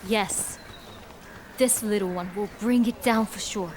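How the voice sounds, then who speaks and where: A woman's voice: mid-range voice, unhurried, slight background noise, lightly acted. An actress playing a determined female blacksmith, proud of her craft, speaking earnestly in a video game cutscene. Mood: determined, hopeful.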